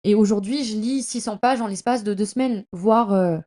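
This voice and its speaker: A young woman chatting casually as a podcast host, in a slangy, effusive and emphatic way, often halting and stumbling over her words. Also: medium pitch, dark tone. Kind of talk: casual, chatty monologue about reading.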